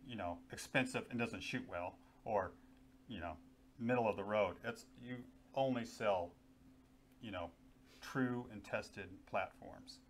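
A man's voice: lowish voice, measured pace, a little hiss or hum. A middle-aged man chatting casually about gear, easygoing and enthusiastic, with lots of fillers, on a lapel mic in a small studio. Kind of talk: casual product chat. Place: small indoor studio with guns on wall.

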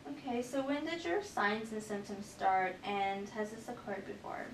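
A woman's voice: medium pitch, lively intonation, normal speed. A young woman playing a nurse in a clinical demonstration, politely talking a patient through assessment steps. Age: young adult. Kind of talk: scripted clinical role-play, explaining steps to patient. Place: small clinic exam room, indoors. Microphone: far camera microphone. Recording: echoey room, distant mic, noisy background.

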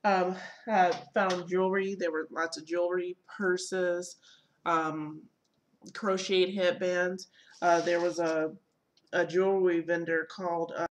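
A woman in her thirties talks at a relaxed pace into a desk webcam in a small room at home. Her voice sits a little low, and she pauses often with ums and uhs as she recaps her day. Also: a little hiss or hum. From Michigan. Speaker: vlogger. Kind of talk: casual product haul and event recap.

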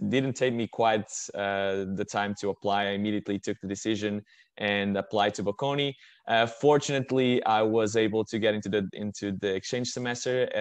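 A man's voice: fairly low pitch, dry recording. A man in his early twenties from Portugal, with a Portuguese accent, speaking informally as a student guest in a webinar. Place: indoors on a video call. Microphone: video call microphone.

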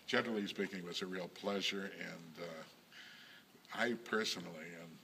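An older man, a synagogue president, talking in a measured, halting way with frequent pauses and self-corrections. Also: deep voice, vocal fry, bright, forceful tone, a little hiss or hum. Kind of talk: recorded interview reminiscence.

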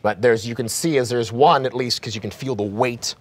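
A man's voice: mid-range voice, a little fast, slightly rough. A man in his thirties running a tabletop horror game as game master, with vivid descriptive narration full of restarts. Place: studio set with a game table.

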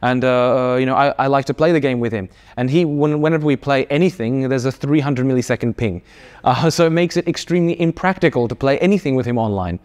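A man's voice: medium pitch, fast talker, darkish tone. A man explains technical ideas at an easy, conversational pace. He stumbles through fillers and restarts as he works out his points. He sounds like he is talking across a desk in an office interview. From Australia. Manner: explains with lots of fillers, restarts and hand gestures. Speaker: game developer. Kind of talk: casual interview answers. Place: indoor office studio.

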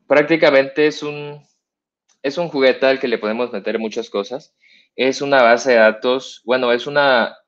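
A young man with a Mexican accent giving a live coding demo at a meetup, explaining step by step with many fillers and restarts. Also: mid-range voice. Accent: Mexican Spanish. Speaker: meetup speaker. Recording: dull lo-fi stream audio.

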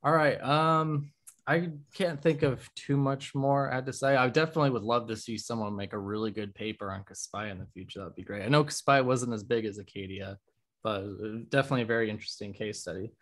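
A man's voice: medium pitch, fairly even intonation, fairly quick, a bit bright. A man in his twenties chatting casually as the host of a podcast interview over a video call. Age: 20s. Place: room at home, video call.

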